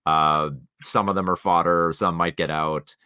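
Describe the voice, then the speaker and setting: A man co-hosting a podcast, discussing films casually in a rambling, halting way with the odd swear word. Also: low voice, steady tone, sharp tone, a little hiss or hum. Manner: rambling, halting, informal with profanity. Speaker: podcast co-host.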